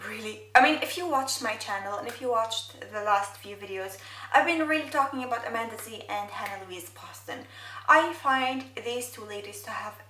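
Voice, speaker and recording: A woman's voice: on the high side, sharp tone, reverberant, far from the mic. A young woman whose first language is Arabic, chatting thoughtfully through a makeup video from her bedroom, with many fillers. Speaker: beauty vlogger. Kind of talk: get-ready-with-me makeup chat. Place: bedroom, indoors.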